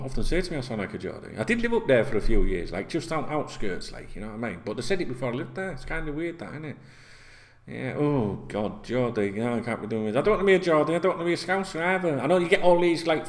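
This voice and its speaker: A man's voice: medium pitch, very animated, quick pace, a little hiss or hum. A middle-aged man, ranting casually and swearing a lot as a football vlogger talking straight to camera in a small room. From Yorkshire, England. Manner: sweary, rambling, conversational. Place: small indoor room, plain wall.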